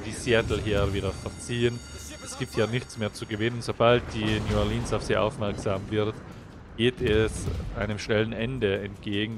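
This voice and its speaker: A young man with a slight cold, explaining a naval game replay as he commentates over it, getting livelier when the action starts. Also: on the low side. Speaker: gameplay commentator.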